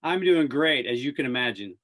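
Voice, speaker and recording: A man's voice: mid-range voice, lively intonation, a little fast, music in the background. A man, a radio play-by-play announcer, answering casually as an interview guest.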